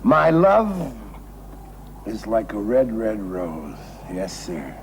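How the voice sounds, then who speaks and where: A giddy, boastful American gambler in an old television drama. He rambles slowly and sentimentally, his low voice swinging widely, repeating himself for emphasis over a noisy soundtrack. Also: wildly swooping pitch, heavily acted. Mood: dreamy, sentimental.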